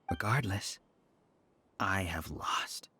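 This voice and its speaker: A bitter, proud researcher villain. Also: male voice, sits low, very slow, noisy background. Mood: bitter, resigned. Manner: dramatic, resentful. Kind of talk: video game voice acting.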